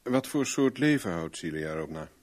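An actor playing a shrewd, self-assured private investigator in a Dutch radio play, probing in a blunt, wry, plain-spoken way. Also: male voice, low-pitched, sing-song, normal speed. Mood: probing, insistent.

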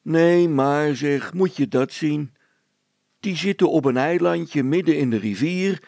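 Man playing a loud, gruff, suspicious barge skipper. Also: a little high, a little slow, no room sound, voice pushed up. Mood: scornful, suspicious. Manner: grumbling, distrustful, calling out loudly.

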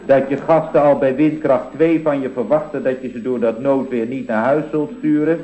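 A man's voice: medium pitch, steady tone, moderate pace, dark tone, close to an everyday voice. Man acting an apologetic underling, muffled roomy recording. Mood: earnest explaining. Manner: apologetic, hesitant, explanatory. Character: deferential male employee, earnestly excusing his shabby flat. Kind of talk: vintage Dutch radio comedy acting. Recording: dull, boxy vintage radio recording, roomy.

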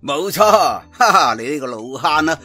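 A Cantonese man voicing a rough, bullying gambling-house champion, confrontational and scolding, in an audio storybook. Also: on the high side, animated, normal speed, pushed and bright, soft background music, exaggerated voice. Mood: menacing, scornful.

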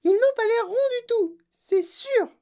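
A spoiled, stubborn little girl from a storybook. The voice is very high, shrill and squeaky. She whines and argues with emphatic insistence. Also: lively intonation, unhurried, mellow, full character voice. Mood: argumentative. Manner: whiny, argumentative, emphatic.

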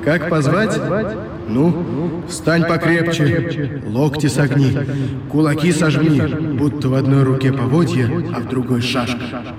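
An actor playing a tired, kindly cavalry rider from a dream in a radio drama, speaking in a measured, reassuring way. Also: male voice, mid-range voice, fairly even intonation, a little hiss or hum, natural voice. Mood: calm, reassuring. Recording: echoing long reverb, distant from mic.

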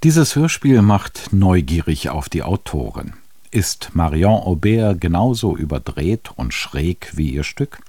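A man's voice: deep voice, very animated, unhurried, warm tone, breathy edge, no room sound. A man giving a scripted introduction as a radio presenter.

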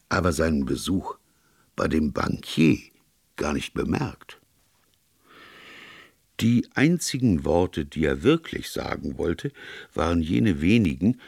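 Man acting a shrewd priest detective, gentle, measured, low husky voice, audiobook story. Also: dry recording, clean studio sound, full character voice.

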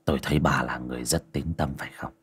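A man voicing a gaunt older fortune-teller who speaks in a hushed, sighing way. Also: extremely low pitch, steady tone, fast talker, breathy edge, pitched below the performer's own voice, heavily acted. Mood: grave. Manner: hushed, half-whispered, sighing.

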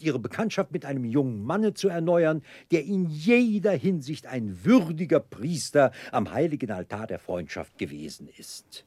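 An actor playing a pompous, self-important middle-aged gentleman debtor in a German radio play, with grandiloquent phrases broken by hesitations. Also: on the high side, husky, dry recording, full character voice.

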